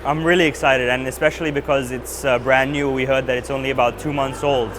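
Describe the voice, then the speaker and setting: A man's voice: medium pitch, steady tone, fast talker, noisy background. A man in his twenties from Australia, an aspiring pilot giving a hesitant interview testimonial with many uhs. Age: 20s. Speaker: pilot trainee.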